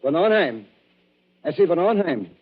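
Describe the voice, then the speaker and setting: Jumpy husband, bit husky, muffled old radio sound. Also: male voice, fairly high pitch, very animated, moderate pace. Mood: anxious. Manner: anxious, clipped, upper-class. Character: nervous, haunted British husband. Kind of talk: 1940s radio drama acting.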